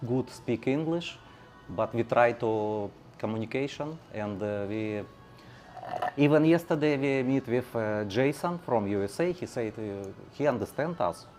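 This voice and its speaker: A male channel host in casual chat, speaking halting non-native English with many fillers and a native Russian background. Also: medium pitch, expressive, a little mellow, soft background music.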